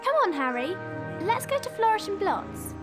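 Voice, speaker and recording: A woman's voice: very high-pitched, sing-song, moderate pace. A woman playing an earnest, slightly forlorn little girl witch in a video game. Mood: friendly. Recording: music behind.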